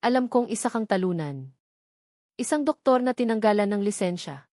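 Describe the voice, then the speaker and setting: A woman's voice: mid-range voice, lively intonation, moderate pace, dark tone, no room sound, studio quality, close to an everyday voice. Woman voicing a sneering male villain. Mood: mocking. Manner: sarcastic, taunting.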